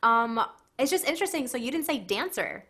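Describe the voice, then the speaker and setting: A woman's voice: on the high side, very animated, fairly quick, fairly bright tone. A woman hosting a podcast over a video call, upbeat and encouraging as she asks questions. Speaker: podcast host. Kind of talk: casual interview. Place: small room indoors. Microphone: headset on a video call.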